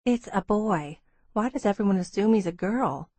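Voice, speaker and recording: Lady reader playing a determined young woman, crisp, businesslike audiobook dialogue, mid pitch, dry. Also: expressive, normal speed, mellow, no echo, natural voice. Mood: defensive.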